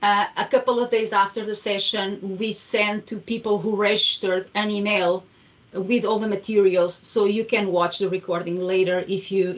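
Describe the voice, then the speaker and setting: Woman hosting a video call meetup. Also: mid-range voice. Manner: friendly, hesitant, many fillers and restarts. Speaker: meetup host. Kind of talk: introducing a guest speaker. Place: home room, video call. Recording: distant, dull video call audio.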